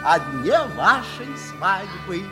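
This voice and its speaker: An actor playing an effusive, excitable older doctor and devoted friend in a 1960s Soviet radio play. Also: male voice, high-pitched, slow pace, exaggerated voice. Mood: cheerful. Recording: old radio recording, faint music.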